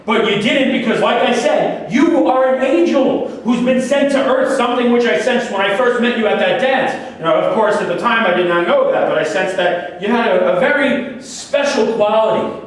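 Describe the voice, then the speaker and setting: A man's voice: very high voice, moderate pace, hardly any pauses. Man acting a deluded, obsessive killer, echoey and distant. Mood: fervent, insistent. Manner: grandiose, condescending, pleading. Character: deluded, obsessive convicted male killer, preachy and self-righteous. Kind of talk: staged play reading. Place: echoey room at a staged reading, far mic. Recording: very echoey, distant, faint room noise.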